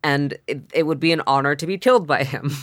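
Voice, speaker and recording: A woman's voice: low-pitched, fast, no room sound, studio quality. A woman chatting casually, gushing with enthusiasm about what she likes. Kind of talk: casual chat.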